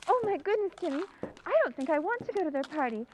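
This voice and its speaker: Woman acting a very high-voiced sweet young fiancée. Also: very animated, quick pace, slight background noise, low-quality recording. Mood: apprehensive. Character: sweet, demure young fiancée, gently teasing. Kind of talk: old-time radio drama acting.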